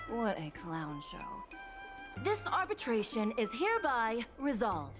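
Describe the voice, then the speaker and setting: Game arbitrator: formal, commanding, fairly high voice with lively intonation. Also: female voice, slow pace. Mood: weary disdain. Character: stern, authoritative female arbitrator. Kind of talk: video game voice acting. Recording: background music under the voice.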